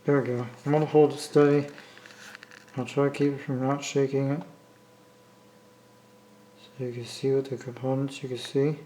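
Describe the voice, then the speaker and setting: A man with an American accent talking casually off the cuff as a hobbyist video maker, rambling and halting as he repeats himself. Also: medium pitch, flat delivery, dark tone, slight background noise, low-quality recording. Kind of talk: casual unscripted vlog talk. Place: indoor room, talking from behind the camera.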